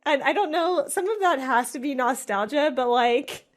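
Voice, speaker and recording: Animated, higher-voiced woman musing aloud. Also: normal speed. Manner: animated, musing, heavy use of "like". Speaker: podcast co-host. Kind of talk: casual chat.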